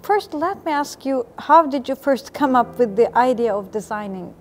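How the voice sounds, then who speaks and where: A woman interviewing a guest, asking polite, measured questions. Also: high voice, lively intonation, slight background noise.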